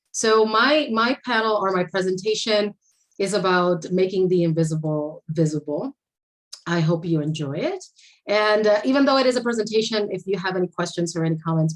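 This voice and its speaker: A woman's voice: fairly low pitch, low-quality recording. A woman from Puerto Rico, whose first language is Spanish, gives a personal presentation over a video call, with frequent restarts as she tells her story. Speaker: conference presenter. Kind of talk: remote presentation.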